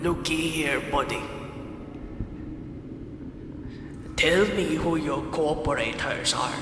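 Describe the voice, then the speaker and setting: A man's voice: fairly high pitch, roomy sound, in a large hall, noisy background. A man playing a menacing medieval torturer with a Scottish accent and choked consonants, taunting and threatening in an improvised roleplay. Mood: menacing interrogation. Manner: taunting, threatening, drawn-out delivery.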